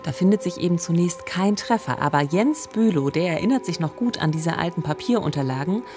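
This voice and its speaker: A woman's voice: fairly low pitch, expressive, a little fast, music in the background. A woman in her forties narrating true crime as a podcast host, speaking conversationally into a close studio microphone. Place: radio studio. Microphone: close studio microphone with pop filter.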